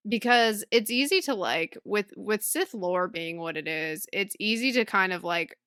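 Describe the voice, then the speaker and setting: A woman's voice: mid-range voice, lively intonation, unhurried, a bit bright, smooth, no room sound, clean studio sound. A woman co-hosting a podcast, talking informally and thinking aloud in a book club chat. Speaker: podcast co-host.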